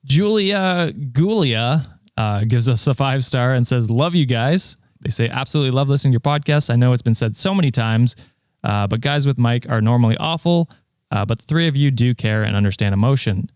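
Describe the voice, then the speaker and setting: A man's voice: medium pitch, brisk, darkish tone, smooth, dry recording, studio quality. A young man co-hosting a podcast, reading listener reviews in a casual, laughing way with lots of "uh" and "um". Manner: laughs often, fills with "uh" and "um". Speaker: podcast co-host.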